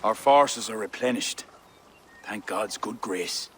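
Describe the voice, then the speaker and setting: A man playing a pious, commanding medieval Irish high king in a video game, solemn and declamatory. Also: slow pace, noisy background. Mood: grave resolve. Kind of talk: video game cutscene acting.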